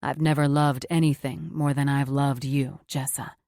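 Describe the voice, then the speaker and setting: A woman voicing a tender, remorseful man, a doctor, speaking low and earnestly. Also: flat delivery, moderate pace, vocal fry, a little mellow, dry recording, studio quality.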